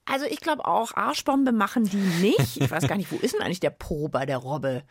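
A woman co-hosting a children's science podcast in a casual, chatty, expressive way. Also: on the low side, fairly quick, husky. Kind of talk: casual conversational presenting.

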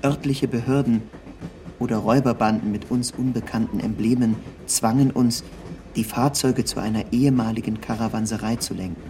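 A man reading a scripted literary narration in the first person, with measured, precise and formal diction. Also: mid-range voice, steady tone, warm tone, a little hiss or hum.

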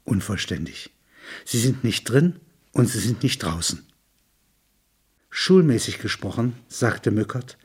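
A man reading scripted literary prose in a measured, sober manner, with a husky, rough-edged voice. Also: mid-range voice. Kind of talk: scripted narration of a literary audio piece.

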